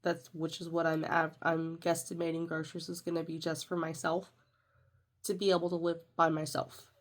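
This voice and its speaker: Woman, young adult, congested, nasal, slightly low voice, chatty and rambling vlogger. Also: completely flat delivery, normal speed. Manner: chatty, rambling with fillers and self-corrections. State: congested, nasal from a sinus infection. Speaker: budgeting vlogger. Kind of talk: casual walkthrough of a budget planner.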